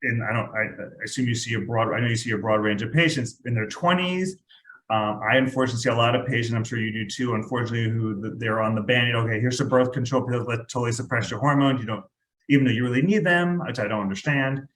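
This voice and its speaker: A man's voice: medium pitch, fast talker, fairly bright tone, a little hiss or hum. Man host with roomy echo. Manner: rambling, restarts questions, laughs lightly. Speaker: podcast host. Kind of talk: interview podcast, conversational questions. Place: New York.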